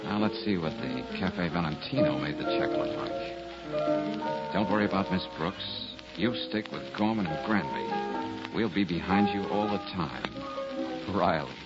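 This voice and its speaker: An actor playing a cool, wry, unflappable private detective in a 1940s radio crime drama. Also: male voice, sits low, animated, unhurried, loud music behind the voice. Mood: smooth, guarded. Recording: muffled old radio recording.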